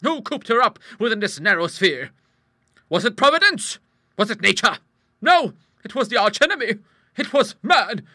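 A man voicing a brisk, outspoken middle-aged English aunt in a storybook, hearty, emphatic and used to commanding. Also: high-pitched, animated, sharp tone, dry recording, studio quality, voice pushed up. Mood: indignant. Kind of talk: storybook character voice in an audiobook.

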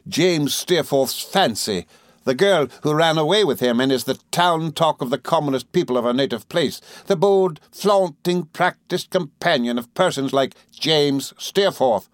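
Storybook villainess, played by a man: imperious young gentlewoman, raised pitch, cutting contempt, fierce and unrelenting, dry sound. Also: expressive, a little slow, no room sound, close to an everyday voice. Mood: menacing, furious. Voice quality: cold, stern, sharp tone. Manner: contemptuous, resolute, theatrically fierce. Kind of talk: dramatised classic novel reading.

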